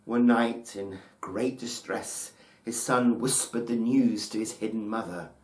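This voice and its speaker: A man narrating a folktale as a storyteller, with solemn, deliberate, theatrical phrasing. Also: fairly low pitch, steady tone. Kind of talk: dramatic scripted folktale narration. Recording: roomy, echoing space.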